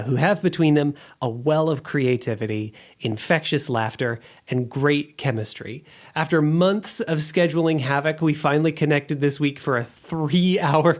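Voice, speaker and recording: A man hosting a podcast, talking with enthusiasm in both introductions and conversation. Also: fairly high pitch. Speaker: podcast host. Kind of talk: scripted podcast introduction and casual interview talk.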